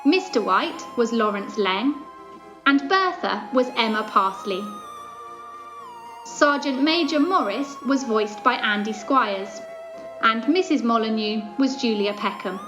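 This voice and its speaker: A woman's voice: fairly high pitch, lively intonation, a little slow, music in the background, low-quality recording. A woman reading scripted narration and credits as the announcer of a radio play.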